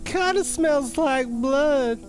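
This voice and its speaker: A woman's voice: sits high, slow pace, a little mellow, soft background music. A woman playing an eager, bumbling, folksy junior police detective in an audio drama, deferential and wide-eyed. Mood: urgent, wary.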